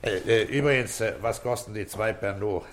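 An actor playing a calm, weary, shrewd senior police inspector in an old German radio crime drama, speaking with deliberate, paternal authority. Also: male voice, on the low side, slight background noise, lightly acted. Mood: dry, matter-of-fact. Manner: deliberate, authoritative, explaining step by step.

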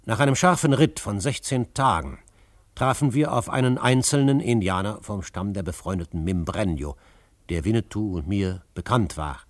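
Actor as a confident frontier adventurer, measured and authoritative. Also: male voice, lowish voice, no echo, close to an everyday voice. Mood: measured narrating. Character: confident male frontier adventurer, calm, commanding, narrating his adventures. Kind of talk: German radio drama narration and dialogue.

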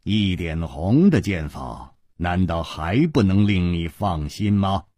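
Wry, composed male swordsman hero, done by a male narrator, deep drop in pitch, martial-arts tale. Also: lively intonation, slow, warm tone, no echo, studio quality, full character voice. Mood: calm assurance.

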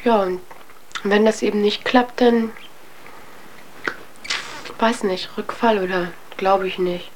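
A woman's voice: mid-range voice, fairly even intonation, slow pace, long pauses, background noise. A young adult woman giving a candid personal account as an interviewee, speaking in a reflective, hesitant, colloquial way.

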